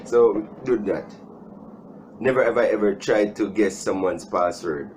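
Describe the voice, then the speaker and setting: A young man with a Jamaican accent chatting casually as a video host, animated and joking, repeating himself for emphasis. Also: fairly low pitch, a little slow, a little hiss or hum. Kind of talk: casual storytime chat. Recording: music playing behind the voice.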